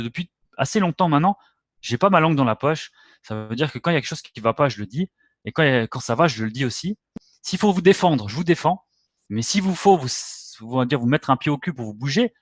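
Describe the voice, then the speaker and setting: A man's voice: medium pitch, no echo, studio quality. A man hosting a trading webinar, talking bluntly and lecturing his viewers directly. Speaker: webinar host.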